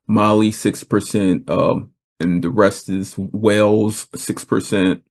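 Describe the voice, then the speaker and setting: A middle-aged man hosting a podcast, speaking in a measured, unhurried way. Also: on the low side, fairly even intonation, a little mellow. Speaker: podcast host. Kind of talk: casual conversation.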